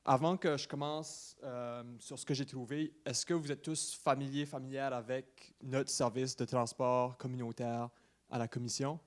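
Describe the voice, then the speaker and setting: A man's voice: mid-range voice, little pitch movement. Young Acadian man, hesitant presenter. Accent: Acadian New Brunswick French. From Saint-Louis-de-Kent, New Brunswick, Canada. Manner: hesitant, pausing often mid-phrase. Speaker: student presenter to a municipal council. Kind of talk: informal spoken presentation at a meeting.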